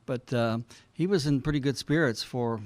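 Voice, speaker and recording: An American man from Ohio shares anecdotes at a club meeting. His mid-pitched voice moves along haltingly and wanders from detail to detail, with a dry, echo-free sound. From Ohio, United States. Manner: halting, meandering storytelling. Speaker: club member. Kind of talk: informal anecdotal report.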